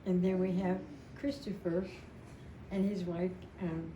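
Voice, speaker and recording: A woman in her seventies giving an informal, rambling and emotional personal tribute at a memorial, telling stories in a halting way. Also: fairly low pitch, level tone, mellow, lo-fi sound. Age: 70s. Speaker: widow speaking at a memorial. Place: indoor family memorial gathering. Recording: echoey, distant mic, noisy gathering room.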